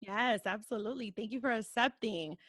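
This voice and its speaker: A woman's voice: on the high side, a little fast, fairly bright tone, dry recording, studio quality. A woman hosting a podcast, laid-back, joking and self-mocking in casual chat. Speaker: podcast host.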